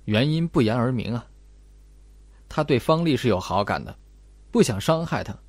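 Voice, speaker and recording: A man's voice: mid-range voice, expressive, no echo. Man playing a cool, clever young detective. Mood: cool, analytical. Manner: measured, cool, explanatory. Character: calm, clever young male student detective.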